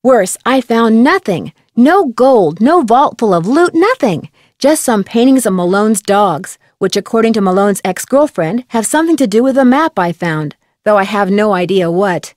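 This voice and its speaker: A woman's voice: mid-range voice, animated, normal speed, few pauses, a little mellow, no room sound, studio quality, close to an everyday voice. A woman playing a curious young amateur detective in a game, explaining things articulately and matter-of-factly. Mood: frustrated. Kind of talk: scripted game voice acting.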